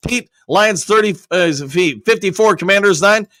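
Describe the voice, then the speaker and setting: Guy with a worn-out, almost gone voice, pretty high pitched, reading chat upbeat, dry sound. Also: moderate pace, bright, forceful tone, no echo. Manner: upbeat, reads chat comments aloud and answers them. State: voice nearly worn out. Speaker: host reading viewer comments. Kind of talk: casual live-stream chat.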